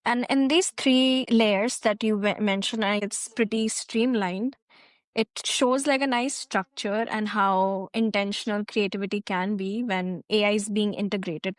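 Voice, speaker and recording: A woman hosting a podcast interview who talks casually and often says "like." Her voice sits at a middle pitch. It sounds dry and close to the mic. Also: fairly even intonation, a little slow, dry recording. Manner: conversational, uses "like" often. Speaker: podcast host. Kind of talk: interview questions.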